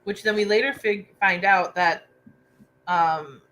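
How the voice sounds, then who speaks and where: Bright-voiced woman hosting a casual book chat. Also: medium pitch, slow pace. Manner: informal, reads out viewer comments. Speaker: book club host. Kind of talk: casual livestream book discussion. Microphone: internet video call.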